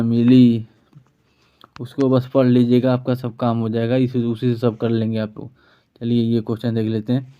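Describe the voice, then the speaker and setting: Flat-pitched Indian man teaching, dull recording. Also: smooth. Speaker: physics teacher. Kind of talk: informal exam-prep lesson, solving questions. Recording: dull, lo-fi sound.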